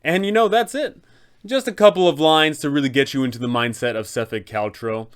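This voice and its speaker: A man's voice: on the high side, fairly quick, smooth. A man hosting a guide for game masters, narrating from a script how to play a villain.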